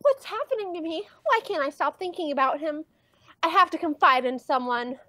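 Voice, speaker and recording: A woman's voice: high-pitched, expressive, moderate pace, pushed and bright, dry recording. A woman voicing a lovestruck, melodramatic teenage girl duck in a comic parody dub, with gushing, dramatic delivery. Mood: lovesick pining. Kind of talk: live comic dub reading.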